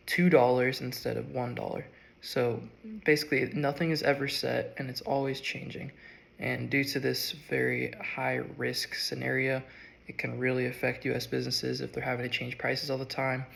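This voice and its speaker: A young man, sick and strained, giving a halting class presentation. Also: mid-range voice, vocal fry, fairly bright tone. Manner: halting, with frequent ums and uhs. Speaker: student.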